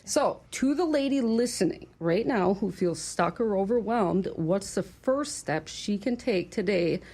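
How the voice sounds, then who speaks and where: A woman with a Minnesotan accent hosting a casual interview, chatty and quick to laugh. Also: medium pitch, lively intonation, warm tone. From Minnesota, USA. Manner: chatty, laughs easily, upbeat. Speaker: show host.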